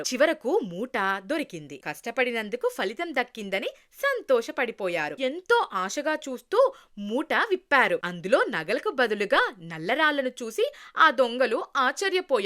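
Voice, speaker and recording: A woman narrating a scripted children's moral tale in an expressive storytelling manner. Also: high voice.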